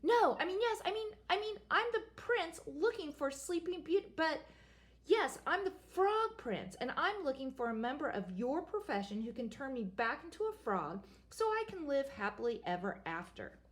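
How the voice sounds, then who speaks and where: A woman's voice: sits high, big pitch swings, moderate pace, pitched above the performer's own voice. A woman voicing a young male frog prince who pleads in a flustered rush and trips over his words. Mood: pleading.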